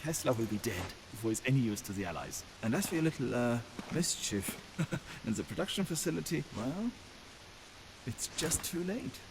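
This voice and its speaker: An actor playing a sinister, gloating Nazi general in a video game cutscene, taunting theatrically with drawn-out pauses. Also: male voice, medium pitch, very animated, background noise.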